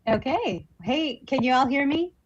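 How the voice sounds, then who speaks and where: A woman's voice: high voice, animated, unhurried. A woman guest on a livestream, telling personal stories in an effusive, conversational way. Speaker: professional actor, guest. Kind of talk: casual livestream chat, personal anecdotes. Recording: dull lo-fi online call audio.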